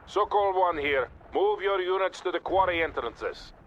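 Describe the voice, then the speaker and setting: Loud battle noise surrounds a stern Soviet field commander in a war game. His voice sits fairly high for a man, with a Russian accent. He gives clipped, formal orders and stays composed and in charge. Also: animated, moderate pace, muffled recording. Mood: urgent orders. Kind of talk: scripted video game military radio dialogue. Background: artillery fire, explosions and gunfire.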